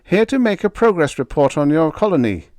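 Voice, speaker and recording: An indignant senior colonial officer from a science fiction audiobook, acted out by a man. His voice is pushed up high and swings widely in pitch, blustering and demanding with official self-importance. The sound is close and dry. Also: sing-song, fairly quick, darkish tone, no echo. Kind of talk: audiobook character dialogue.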